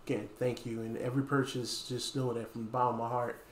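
Man, thirties, casual announcer, halting phrasing, fairly flat intonation, roomy echo. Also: mid-range voice. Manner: halting, pausing mid-phrase. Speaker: small game store owner and video host. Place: dim indoor room with wooden floor. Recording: echoey room, faint background noise.

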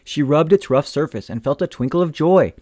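A man reading a children's picture book aloud in a gentle, expressive storytelling manner. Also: a little high, a little fast, dry recording, studio quality. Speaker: storytime reader.

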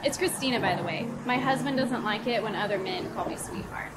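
A woman's voice: mid-range voice, fairly quick, over loud music, close to an everyday voice. A woman playing a composed, firm customer. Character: self-assured young woman customer.

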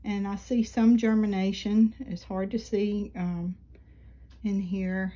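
A middle-aged woman chatting casually about her seedlings in a small greenhouse, unhurried and rambling with many ums, filmed on a handheld phone. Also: medium pitch, flat delivery, warm tone. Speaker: flower farm vlogger. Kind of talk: casual gardening vlog. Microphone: phone camera held while filming.

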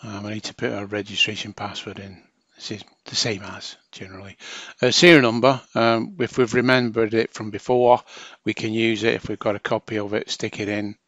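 A man's voice: fairly low pitch, level tone, fairly quick, few pauses, a bit bright, no echo, dull audio. A man narrating a hands-on technical tutorial as a trainer, explaining informally step by step.

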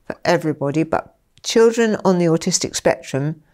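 An older woman with a slightly low voice talks through her ideas in an interview. She is animated and expressive, slipping into short acted-out exchanges. She sits in a small book-lined study with a lapel mic. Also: fairly quick. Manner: animated, gestures a lot, acts out dialogue. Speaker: interviewee, former school head. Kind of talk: explanatory interview talk. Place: small study with bookshelves, indoors.

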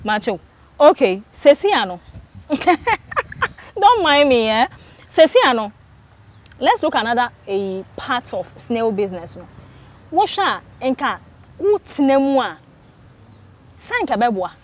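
A young Ghanaian woman interviewing a farmer outdoors, brisk and full of quick affirmations. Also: on the high side, sing-song. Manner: brisk, frequent short affirmations. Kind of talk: casual field interview. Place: outdoors on a farm under trees.

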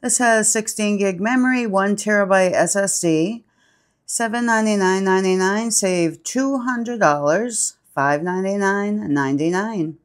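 A woman reads down a sale flyer item by item, calling out every model and price in an even, listing way. She takes her time, with unhurried, deliberate pacing and a middle-range pitch, close to a phone held over the page. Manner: steady itemized listing of products and prices. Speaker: channel host.

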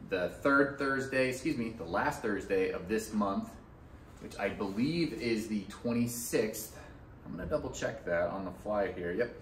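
A man's voice: deep voice, a bit bright. A man in his thirties gives a casual fishing report to the camera in a small fly shop, loose and chatty, with many fillers and restarts. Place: small fly shop with hats and rods on the walls. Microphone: camera a few feet away. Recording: roomy shop sound, mic at a distance, faint background hum.

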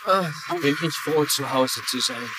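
An actor playing a flustered, exasperated husband and nephew in a dubbed TV crime drama. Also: male voice, fairly high pitch, unhurried, noisy background, natural voice. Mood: tired. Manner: agitated, hesitant.

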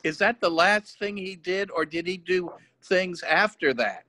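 A man's voice: high-pitched, measured pace, pushed and bright. A man asking casual questions on a group video call.

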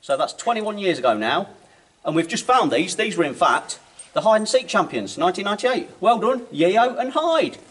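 A middle-aged man, giving jokey, teasing commentary while exploring a dark rail tunnel, recorded on a handheld camera. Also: high voice, big pitch swings, normal speed, slightly rough, rough recording. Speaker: presenter and group leader.

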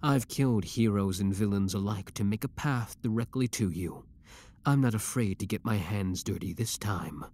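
A man playing an obsessive, menacing young villain with cold devotion, speaking deliberately and theatrically in a scripted ASMR roleplay. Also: fairly low pitch, mellow, lightly acted. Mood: possessive menace.

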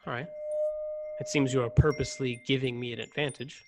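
A man's voice: mid-range voice, fairly even intonation, a little fast, music in the background, close to an everyday voice. A young man acting a proud, rigid, volatile starship ensign in a radio play, speaking formally and in clipped phrases. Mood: smug, stern. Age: young adult. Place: recorded over a video call, close studio mic. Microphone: desktop microphone, headset worn.

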